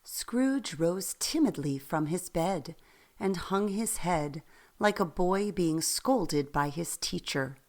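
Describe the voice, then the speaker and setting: A woman narrating a radio play in an expressive, deliberate storytelling manner, with faint noise in the background. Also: lowish voice.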